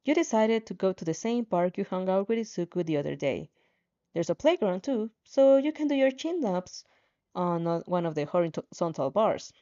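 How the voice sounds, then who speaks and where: A woman's voice: mid-range voice, very animated, moderate pace, warm tone, dull audio. A woman reading a story aloud, often stumbling and repeating words as she narrates. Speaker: fan fiction narrator.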